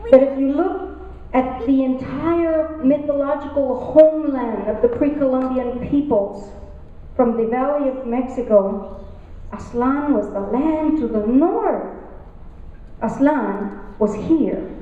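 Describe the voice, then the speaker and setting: A woman's voice: high-pitched, takes it slowly. A woman from San Antonio, giving a rhythmic, emphatic public introduction of a poet at a university. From West Side of San Antonio, Texas. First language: Tex-Mex. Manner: rhythmic, repetitive oratory with emphatic rises. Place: university lecture hall. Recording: distant, echoey, muffled hall recording.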